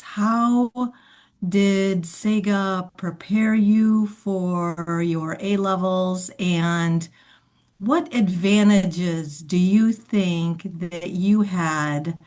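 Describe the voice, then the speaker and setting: A woman's voice: fairly low pitch, a little mellow. A woman supporter asking friendly, curious questions on a webinar video call. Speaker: charity supporter.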